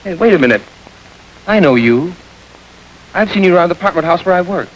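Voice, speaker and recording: An eager young working man talks with a lively, bouncing pitch. His manner is earnest and animated, over a faint hiss on the old radio recording. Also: fairly quick, poor audio. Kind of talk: 1940s radio acting.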